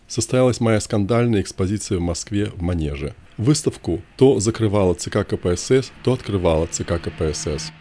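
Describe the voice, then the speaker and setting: A man narrating a scripted first-person memoir in short, measured, declarative sentences. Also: lowish voice, warm tone.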